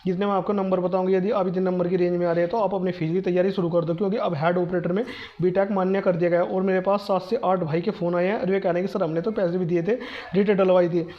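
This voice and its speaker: Fast, high, insistent man. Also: flat delivery, dull audio. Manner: repeats "ठीक है दोस्तों", fast insistent reassurance. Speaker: exam coaching video host. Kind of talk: direct-address exam advice.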